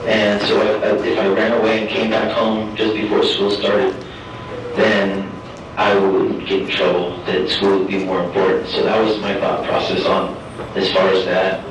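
A man in his forties, an inmate speaking at a parole hearing, halting and soft-spoken, often repeating himself. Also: fairly low pitch, monotone. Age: 40s. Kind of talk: formal hearing testimony. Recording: echoey distant room with noise.

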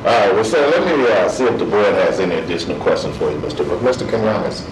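A deep-voiced man conducts a formal hearing as board chair. He is procedural but halting, often restating his phrases. He sounds away from the microphone in an echoey room. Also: lively intonation, recorded from a distance, background noise. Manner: procedural, halting, repeats himself. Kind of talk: formal hearing questioning, unscripted.

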